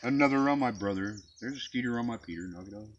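A man's voice: medium pitch, fast, dull audio. A man swearing constantly as he trades crude jokes outdoors on a forest trail, recorded on a handheld phone. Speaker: joker in a prank video. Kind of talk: crude casual banter.

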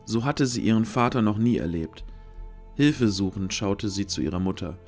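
A male narrator tells a fantasy tale in scripted storytelling style. His voice sits slightly low, with music playing behind it. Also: animated, moderate pace, darkish tone.

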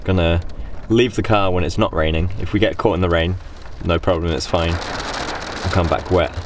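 Young British male travel vlogger, casual and chatty, lower voice, noisy handheld camera sound. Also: steady tone, normal speed. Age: young adult. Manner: chatty, laughs often, swears when caught in the rain. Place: outdoors in windy hills, in a car, in a rented room. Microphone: handheld camera at arm's length.

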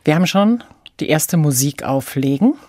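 A woman hosting a radio interview in a measured, conversational moderating style. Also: low voice, expressive, dark tone, no echo, clean studio sound. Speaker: radio host. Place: dry radio studio.

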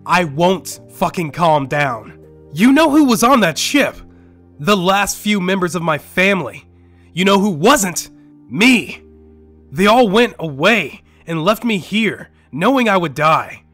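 A man playing a bitter, despairing survivor of a dying planet in an audio drama, profane, dramatic and halting. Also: high voice, animated, a bit bright, soft background music, exaggerated voice. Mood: anguished rage.

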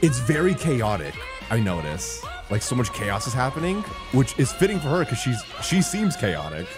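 A man's voice: mid-range voice, sing-song, normal speed. A young man giving enthusiastic, exclamatory, casual reaction commentary as a streamer, speaking close into a desk microphone. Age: young adult. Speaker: streamer reacting to a game trailer. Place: small home streaming room, indoors. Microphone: close desk microphone on an arm. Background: music from the game trailer.

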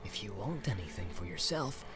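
A man voicing a small, plucky hobbit, speaking in a hushed, shrewd way in a fantasy audiobook. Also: fairly low pitch, expressive, brisk, over music, voice pushed down, lightly acted.

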